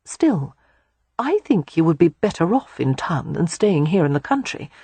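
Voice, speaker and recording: A woman narrator plays a gentle young mother and elder sister in an audiobook. The voice is a little husky and expressive in pitch. She advises kindly and soothes. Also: moderate pace, no room sound, exaggerated voice. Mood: concerned, gentle. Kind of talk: audiobook character voice.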